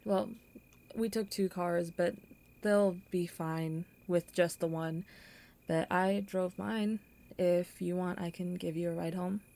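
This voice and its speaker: A young woman playing a kind, awkward popular girl in an audio roleplay, with halting, stammering, flustered delivery. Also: lowish voice, steady tone, creaky, warm tone. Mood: shy, caring. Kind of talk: intimate audio roleplay acting.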